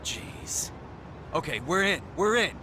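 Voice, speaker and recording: A man's voice: high-pitched, lively intonation, slow pace, background noise. An actor playing a jumpy, compliant mob henchman in a video game cutscene.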